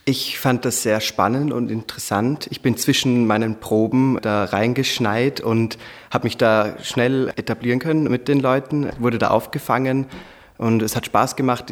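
A man's voice: medium pitch, fairly even intonation, moderate pace. A man of twenty-four, an acting student, introducing himself casually in an interview. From Vienna, Austria.

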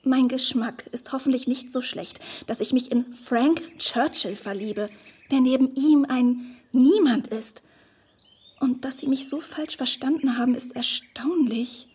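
A woman playing a naive, smitten young woman in a radio drama, earnest and effusive with a breathy delivery. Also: high voice, unhurried, a little mellow. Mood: wounded, indignant. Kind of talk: German radio drama acting.

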